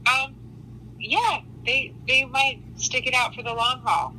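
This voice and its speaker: A woman's voice: high voice, steady tone, takes it slowly, bright, forceful tone, slight background noise, rough recording. A woman giving a casual astrology reading in a blunt, matter-of-fact way.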